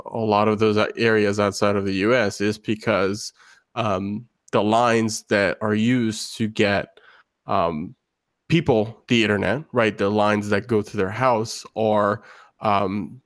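A man's voice: lowish voice, no echo, clean studio sound. A man from Hungary on a podcast, explaining conversationally in hesitant, broken phrases. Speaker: podcast speaker.

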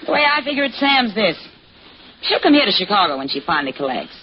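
An actress playing a scheming, hard-boiled mistress in an old-time radio crime drama, insistent, domineering and coolly manipulative. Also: female voice, mid-range voice, very animated, fast talker, natural voice. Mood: coaxing, calculating. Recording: dull, noisy vintage radio recording.

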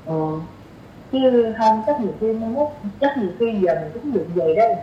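A woman's voice: a little high, expressive, a little fast, muffled recording, a little hiss or hum. A young woman with a southern Vietnamese accent, chatting casually as a podcast guest and thinking aloud with repeated phrases. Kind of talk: casual conversational podcast chat. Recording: roomy echo, faint music behind. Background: faint music.

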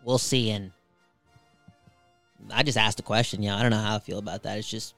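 A man's voice: on the low side, steady tone, a little fast, pushed and bright, dry recording, faint music behind. A man hosting a casual sports talk show, emphatic and slangy, repeating phrases and swearing freely. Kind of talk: casual sports commentary chat.

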